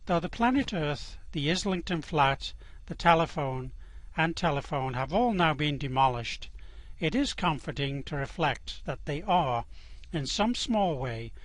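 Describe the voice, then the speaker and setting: A man playing the calm, drily amused voice of a galactic guidebook, narrating a comic radio play in a measured, deadpan way. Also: on the high side, no echo.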